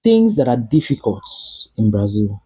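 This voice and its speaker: A man's voice: on the low side, sing-song, normal speed, warm tone, breathy edge, muffled recording. A man from Nigeria with a Nigerian English accent, chatting casually and emphatically in a vlog, often repeating and restarting his phrases. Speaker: vlogger living abroad.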